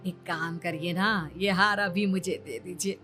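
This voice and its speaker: A woman's voice: fairly low pitch, steady tone, a little slow, pushed and bright, soft background music. A woman playing a greedy, bossy middle-aged mother-in-law who is pushy, scolding and wheedling, in a cartoon story.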